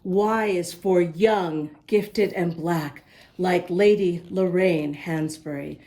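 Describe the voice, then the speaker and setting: A woman's voice: on the low side, takes it slowly, warm tone. A woman reading a rhyming picture book aloud as host, speaking directly to young listeners. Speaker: children's book reader and host.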